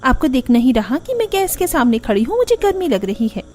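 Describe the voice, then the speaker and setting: A woman's voice: sits high, sing-song, fairly quick, a little mellow, slight background noise. A woman voicing a young, whiny and indignant daughter-in-law in a cartoon story, complaining dramatically. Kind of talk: Hindi cartoon story dialogue. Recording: music under the voice.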